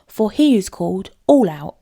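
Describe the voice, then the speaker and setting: A woman's voice: medium pitch, sing-song, normal speed, mellow. A woman voicing a sly, greedy male cat in a storybook, smug and dismissive, with emphatic delivery. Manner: smooth-talking, dismissive, emphatic. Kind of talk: storybook character voice.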